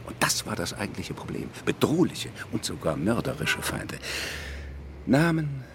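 An actor playing a self-assured, lecturing psychiatrist with a deliberate, dryly eccentric manner in a radio drama. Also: male voice, fairly low pitch, very animated, gravelly, background noise. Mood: assertive, dry.

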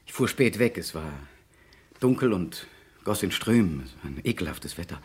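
An anxious, haunted man in a sixties German radio play. His voice is low and husky, and he confides in a hesitant, brooding way, as if tormented by doubt. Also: expressive, normal speed, exaggerated voice. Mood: agitated recounting. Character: anxious, tormented man seeking a private detective's help.

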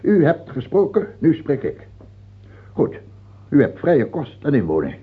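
A fearful, doubt-ridden king of France near death in a radio play. His male voice is badly husky and swings widely in pitch as he halts, stammers and commands. The recording is tinny, like an old broadcast through a narrow band. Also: wildly swooping pitch, exaggerated voice. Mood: imperious. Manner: halting and stammering, turning imperious. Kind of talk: radio drama acting.

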